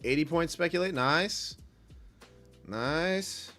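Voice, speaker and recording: A man's voice: fairly high pitch, lively intonation, slow, pushed and bright, soft background music. A man with a slightly stuffy, congested nose hosts a live trading stream, chatting casually and commenting on the markets in a laid-back, rambling, informal way. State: stuffy nose and cough from a cold. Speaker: livestream host, futures day trader.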